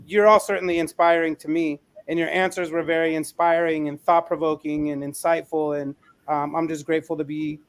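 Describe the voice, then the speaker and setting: A man with a high-pitched voice speaks as a podcast co-host. His remarks are informal, earnest and appreciative.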